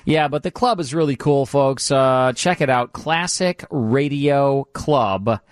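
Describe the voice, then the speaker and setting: A man's voice: medium pitch, takes it slowly, smooth, no room sound. Dry-sounding American male radio host. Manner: conversational, repetitive salesman's patter. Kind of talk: casual radio promotion and chat.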